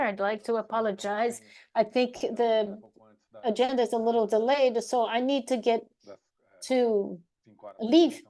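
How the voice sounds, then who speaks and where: Halting woman interpreting a panel speech. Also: on the high side. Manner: halting, with frequent fillers and restarts. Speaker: simultaneous interpreter.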